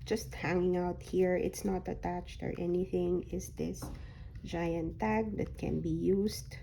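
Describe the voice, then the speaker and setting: A woman with a hoarse voice that is recovering from a sore throat, showing a handmade journal folio in a soft, unhurried way with frequent ums. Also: fairly low pitch, little pitch movement, slight background noise, rough recording. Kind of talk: slow, casual craft flip-through.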